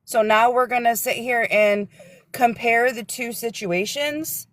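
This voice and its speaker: A woman's voice: on the high side, unhurried, fairly bright tone, no room sound. A woman, a guest on a livestream, speaking earnestly and lecturing, sometimes searching for her words. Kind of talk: casual livestream chat.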